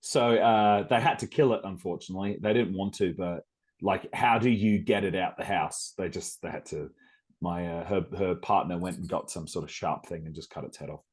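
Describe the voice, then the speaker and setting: A man's voice: deep voice, moderate pace, husky. A man from South Australia with an Australian accent, hosting a casual interview and chatting in a rambling way with many fillers. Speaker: podcast host.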